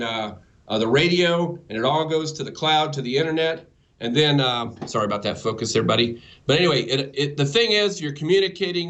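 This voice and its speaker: A man's voice: on the high side, fairly bright tone. A man giving a casual live demonstration as a presenter, enthusiastic and informal with his audience. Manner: enthusiastic, informal, addresses listeners as folks. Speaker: presenter of a ham radio segment.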